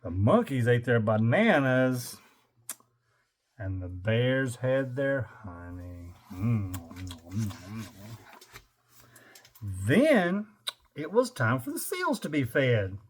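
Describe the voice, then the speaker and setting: An older man reads a picture book to children with a lively, swooping delivery. He takes his time and stretches words theatrically, then drops into dry, joking asides. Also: mid-range voice, takes it slowly, slight background noise. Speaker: grandfather reading a picture book aloud. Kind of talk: animated children's read-aloud with joking asides.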